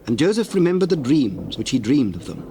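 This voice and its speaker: A man narrating scripture in a solemn, measured, formal way with theatrical diction. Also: medium pitch, sing-song, gravelly, dark tone, slight background noise.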